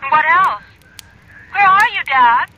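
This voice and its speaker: Flippant young daughter, very high voice. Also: female voice, animated, slow pace, dull audio, slight background noise, heavily acted. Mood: teasing. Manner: teasing, sardonic. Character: flippant, romantic young adult daughter of a senator. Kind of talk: 1970s radio drama acting.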